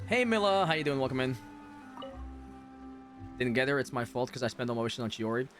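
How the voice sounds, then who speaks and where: A man chatting casually and a little haltingly on a gaming livestream. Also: mid-range voice, animated, a bit bright, faint music behind. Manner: informal, halting, chatting with viewers. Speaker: game streamer. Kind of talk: casual livestream chat over gameplay.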